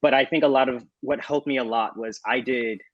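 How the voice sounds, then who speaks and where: A man's voice: medium pitch, fairly even intonation, a little fast, no echo, dull audio. A man talking informally as a panelist about his directing work, in loose, unscripted phrasing. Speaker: panelist, audio drama director. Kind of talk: casual panel talk.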